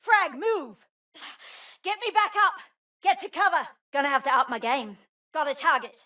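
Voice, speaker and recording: An actress playing a brilliant, wry and determined scientist in a video game, speaking articulately in scripted lines and journals. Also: female voice, high-pitched, moderate pace, bright, forceful tone, no echo. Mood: combat shouting. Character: brilliant wry female scientist, guilt-ridden, urgent and determined. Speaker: scientist character.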